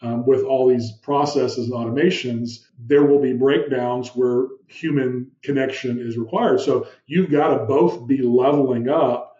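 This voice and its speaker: A man with a big, commanding voice explains ideas on a podcast, asking rhetorical questions along the way. His tone is mellow and dark. The audio sounds muffled and echoey, as if from a roomy remote setup. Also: mid-range voice, unhurried, few pauses. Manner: animated, uses vivid room analogies and rhetorical questions. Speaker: podcast guest, business founder. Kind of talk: explanatory interview talk.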